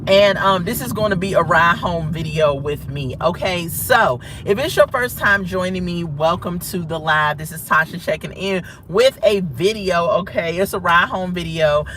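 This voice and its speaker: A woman's voice: fairly low pitch, moderate pace, hardly any pauses, fairly bright tone. An American woman talking casually and frankly as a livestream host, in an informal style that often repeats itself. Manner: informal, outspoken, repeats herself. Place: inside a moving car. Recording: noisy car ride, distant mic.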